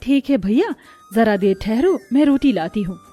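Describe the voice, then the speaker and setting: A gentle, poor young daughter-in-law from a cartoon moral tale, acted by a woman. Her high voice is sweet and earnest, and music plays behind it. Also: sing-song, normal speed. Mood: kindly, eager.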